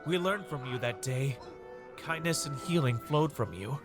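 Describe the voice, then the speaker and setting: A man's voice: a little high, lively intonation, normal speed, music in the background, close to an everyday voice. A man playing an earnest, grateful and humbled army officer in a dramatic comic dub, sincere and reflective. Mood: earnest gratitude.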